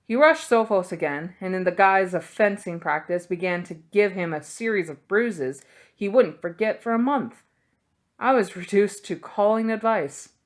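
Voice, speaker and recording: A woman's voice: mid-range voice, moderate pace. A woman reading a novel aloud as scripted audiobook narration. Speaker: audiobook reader.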